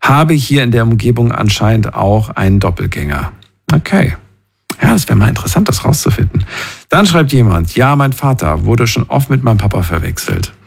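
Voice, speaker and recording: Radiomoderator mit rauer, knarziger Stimme. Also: male voice, medium pitch, sing-song, moderate pace, gravelly, husky. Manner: informal, chatty, addresses listeners directly. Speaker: call-in radio host. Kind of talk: casual chat, reading listener messages aloud.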